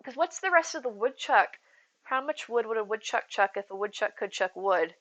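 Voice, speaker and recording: A woman with a soothing, bright voice chats to her viewers from a home streaming setup. She rambles loosely, giggles easily and calls people in her chat by name. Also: a little high, lively intonation, moderate pace. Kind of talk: casual live-stream chat.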